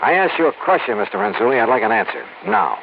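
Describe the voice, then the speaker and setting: A tough, quick-talking investigator narrating; old noisy radio sound. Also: male voice, medium pitch, very animated, creaky, lo-fi sound. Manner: clipped, hard-pressing narration. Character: tough wisecracking male insurance investigator. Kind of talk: 1940s radio acting.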